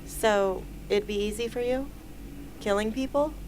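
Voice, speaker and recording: Radio drama heroine: blunt, guarded teen girl archer, medium pitch, faint background noise; woman acting. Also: a little slow, lightly acted. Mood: dry, guarded.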